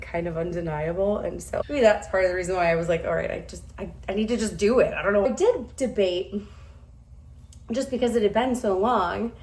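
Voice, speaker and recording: A woman in her thirties with a slightly hoarse voice, chatting casually to camera at home, self-deprecating and quick to laugh. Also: mid-range voice. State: hoarse, recovering from losing her voice. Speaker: vlogger. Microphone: camera a few steps away.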